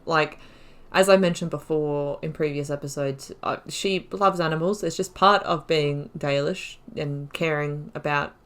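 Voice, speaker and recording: A woman's voice: low-pitched, fairly even intonation, measured pace. A woman hosting a playthrough with casual commentary, reflectively thinking aloud as she goes. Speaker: gaming channel host. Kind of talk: casual let's play commentary.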